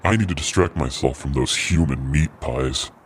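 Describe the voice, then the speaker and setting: A man's voice: rumbling low, moderate pace, breathy edge. A man voicing a hungry, sinister holiday cryptid who hosts a podcast special with wry, theatrical, macabre humor. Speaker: host linking podcast segments. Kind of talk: scripted in-character host narration.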